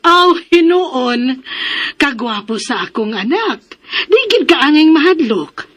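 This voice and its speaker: High-pitched, feisty scolding mother. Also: sing-song, a little slow. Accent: Filipino, Cebuano. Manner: indignant, exclamatory, sing-song scolding. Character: feisty, scolding, protective mother defending her home. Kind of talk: Philippine radio drama acting.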